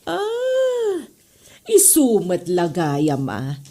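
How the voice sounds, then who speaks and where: A woman playing an easily charmed schoolteacher in a comedy audio drama, coy and expressive, with a Northern Philippine accent. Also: on the low side, drawn-out words, heavily acted. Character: female schoolteacher, stern reputation but easily charmed.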